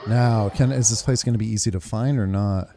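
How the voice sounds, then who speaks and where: A woman's voice: extremely low pitch, brisk, warm tone. A woman giving casual playthrough commentary as a gaming host, informally reacting aloud. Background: game music.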